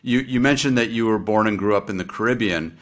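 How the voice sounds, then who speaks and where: Low-voiced joking male host. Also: fast talker. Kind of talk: casual interview talk.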